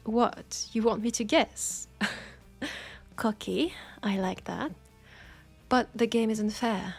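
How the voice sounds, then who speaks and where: A woman with a French accent playing a knowing, teasing witch in an intimate roleplay audio drama, with husky, breathy speech and dramatic pauses. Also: fairly high pitch, faint music behind, heavily acted. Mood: teasing, curious. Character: knowing, teasing, compassionate witch in a secluded werewolf town.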